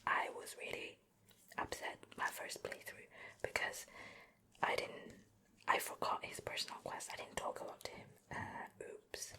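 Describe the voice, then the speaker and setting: Softly whispering woman, slow and close-up. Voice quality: soft breathy whisper. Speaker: ASMR video creator and host. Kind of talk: soft whispered ASMR show and tell. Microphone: close ear-to-ear stereo microphone.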